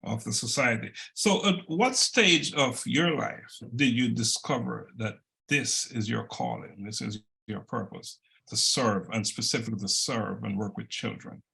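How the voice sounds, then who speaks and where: A man's voice: mid-range voice, a little slow, creaky, few pauses, pushed and bright, low-quality recording. A man hosting an interview, politely summing up the answers and prompting with follow-up questions. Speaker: interview host.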